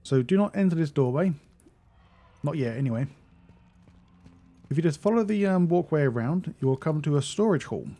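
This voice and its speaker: A man's voice: fairly high pitch, lively intonation, moderate pace, pauses often, warm tone. A man giving a casual video game walkthrough as a guide narrator.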